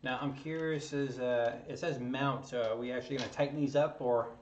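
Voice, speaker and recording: A man's voice: medium pitch, fairly even intonation, brisk, a little mellow, slight background noise. A man hosting his maker channel from a home basement workshop, chatting casually and joking at his own expense as he assembles a kit. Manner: chatty and self-joking, chuckles often. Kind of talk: casual talk while assembling a 3D printer kit. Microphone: camera on a tripod across the table. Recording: roomy, distant and slightly dull.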